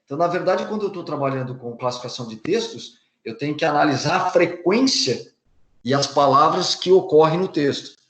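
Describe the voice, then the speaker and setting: A man's voice: a little high, expressive, a little fast, a bit bright. A man with a Brazilian Portuguese accent giving a recorded university lecture, patiently repeating and rephrasing each point, often restarting his sentences. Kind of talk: recorded lecture explaining slides. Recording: echoey room.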